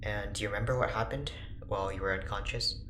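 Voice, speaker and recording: A reserved, precise doctor played by a man speaks in a very low, bright-edged voice, formal and clinical. It is audio drama, recorded in a roomy, echoing space with faint noise. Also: fairly even intonation, fast talker, close to an everyday voice. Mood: measured, clinical. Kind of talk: naturalistic audio drama acting.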